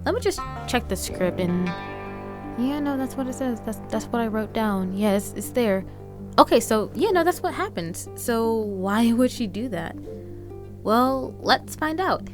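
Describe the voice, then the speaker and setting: A woman's voice: on the high side, animated, unhurried. Young woman hosting, music underneath. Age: young adult. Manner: chatty, playful, addresses listener. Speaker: radio program host. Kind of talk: scripted, conversational radio narration.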